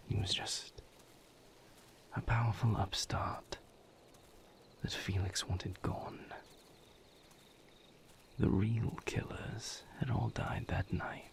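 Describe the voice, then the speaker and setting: Man acting a hushed, gravelly, weary vampire lover. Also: lowish voice. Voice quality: hushed, breathy whisper with gravelly creak. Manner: slow, halting, solemn. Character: weary male vampire lover confiding his painful past. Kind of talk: intimate audio roleplay monologue.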